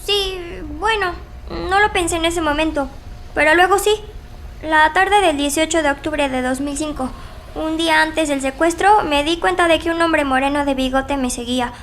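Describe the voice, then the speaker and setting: An actress playing an earnest young kidnapping victim giving deliberate testimony in an audio drama. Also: female voice, sits high, a bit bright, a little hiss or hum. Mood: earnest, hesitant.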